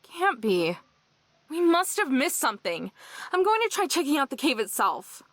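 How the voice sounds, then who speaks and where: An actress playing a guarded young woman in a visual novel, dry and deadpan, with faint music underneath. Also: high voice, normal speed, no room sound. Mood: practical, cautious. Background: game music.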